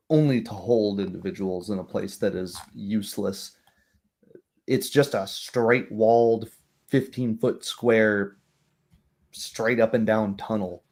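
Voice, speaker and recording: Man, game master, slow descriptive narration, lowish voice. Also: a little mellow.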